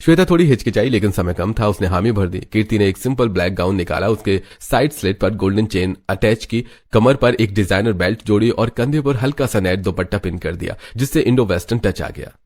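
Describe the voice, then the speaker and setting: Male narrator, low pitch, scripted storytelling, animated family drama. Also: brisk, hardly any pauses.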